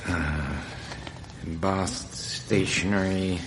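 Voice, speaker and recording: An actor playing a laconic, unflappable American army operative in a postwar radio drama. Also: male voice, deep voice, steady tone, extremely slow, noisy background. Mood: focused.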